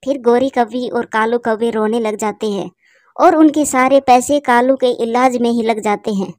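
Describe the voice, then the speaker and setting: High-voiced woman narrating a cartoon. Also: normal speed, darkish tone, no room sound, studio quality. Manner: addresses viewers as dear friends, moralising.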